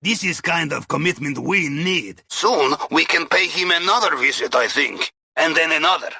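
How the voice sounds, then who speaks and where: A man's voice: a little high, animated, normal speed, creaky, bright, forceful tone, slightly rough, no room sound, clean studio sound, heavily acted. A man playing a wry, cheerful Russian submariner and heist planner in a video game, giving briefings in clipped phrasing with a Russian accent. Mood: wry, instructive. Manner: wry, clipped phrasing with dropped articles and tag questions. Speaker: mission guide.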